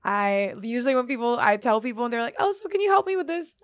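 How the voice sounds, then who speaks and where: A woman's voice: a little high, big pitch swings, quick pace, dry recording, studio quality. A woman in her twenties, born in Germany, chatting as a guest in an interview, laughing often and poking fun at herself. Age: 20s. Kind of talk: casual interview chat.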